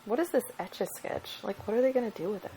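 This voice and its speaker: A young woman co-hosting a podcast, chatting in a relaxed way and laughing easily. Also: mid-range voice, fast, a little hiss or hum, poor audio. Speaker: podcast co-host. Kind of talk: casual chat.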